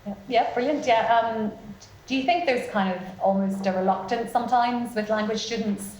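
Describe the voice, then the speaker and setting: A woman moderating a panel, asking long, halting questions full of fillers. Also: a little high, a little mellow, a little hiss or hum. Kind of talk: panel discussion. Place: event room with audience. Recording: roomy hall.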